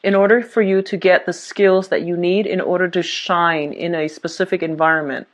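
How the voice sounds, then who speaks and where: Low-voiced woman giving a tarot reading. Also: steady tone, normal speed. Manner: reassuring, many fillers and restarts. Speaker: tarot reader.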